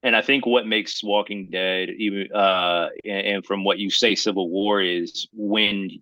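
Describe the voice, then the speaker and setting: Male podcaster, low and forceful, close dry sound, thoughtful conversational delivery. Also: fairly even intonation, measured pace, dry recording. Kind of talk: casual podcast discussion.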